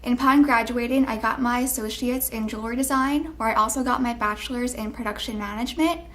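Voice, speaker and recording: A woman's voice: a little high, fast talker, a bit bright. A woman, introducing herself and her studies for an interview. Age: 20s. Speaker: alumna, product developer.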